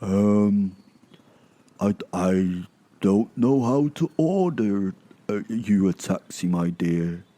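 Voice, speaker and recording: Man acting a loud, flustered old Santa Claus. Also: lively intonation, slow. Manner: hesitant, courtly, earnest booming. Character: old Santa Claus, jolly but flustered, kindly demigod.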